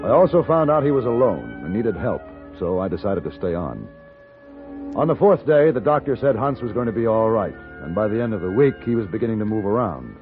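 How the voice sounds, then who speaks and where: An actor playing a steady, courteous American gunfighter in a radio western, speaking and narrating in a measured, gentlemanly way. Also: male voice, faint music behind. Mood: measured narration. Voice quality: deep, gravelly. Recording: muffled narrow-band old radio, faint hiss.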